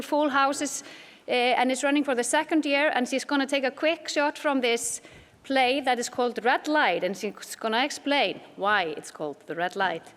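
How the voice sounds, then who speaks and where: A woman hosting a forum, introducing the next speaker in a halting way with many fillers. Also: sits high, a bit bright, big-room sound. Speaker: event host.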